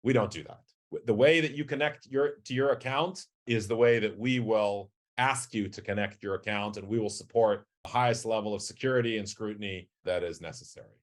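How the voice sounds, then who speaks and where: A middle-aged man giving measured, articulate explanatory answers as an executive guest in a business interview. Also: on the low side. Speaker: company chief executive, podcast guest.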